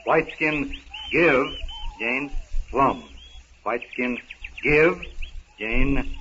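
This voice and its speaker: A male actor playing a wild ape-man learning speech in a 1930s radio serial, speaking in halting broken words. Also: mid-range voice, sharp tone, lo-fi sound. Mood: earnest. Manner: halting, broken one- and two-word utterances. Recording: scratchy, band-limited 1930s radio recording.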